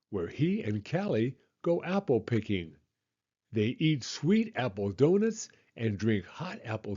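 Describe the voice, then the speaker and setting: Animated man reading a children's story, unhurried. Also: medium pitch, husky, muffled recording. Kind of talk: expressive storybook read-aloud narration.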